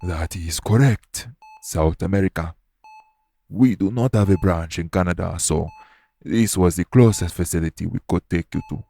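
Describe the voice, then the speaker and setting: A man playing a calm, formal official of a secret organization in an audio roleplay, speaking in a measured, matter-of-fact way to the listener. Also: low voice, creaky.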